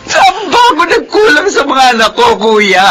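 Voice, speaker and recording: A woman's voice: high voice, big pitch swings, moderate pace, a bit bright, a little hiss or hum. An actress playing an anguished, despairing middle-aged Filipino mother in a radio drama, pleading with great emotion. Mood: anguished, tearful.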